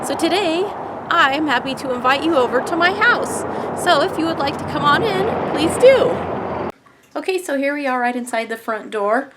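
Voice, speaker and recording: An American woman in her thirties speaks in a fairly high voice with a touch of congestion. She talks informally to her camera as a vlogger, explaining things as she walks through a small house. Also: animated, normal speed, very noisy. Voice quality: slightly congested. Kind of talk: casual house tour narration to camera. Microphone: handheld camera at arm's length.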